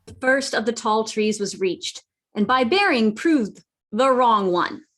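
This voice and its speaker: A woman's voice: a little high, lively intonation, measured pace, fairly bright tone. A woman playing an earnest storyteller narrating a sea adventure in a scripted radio play.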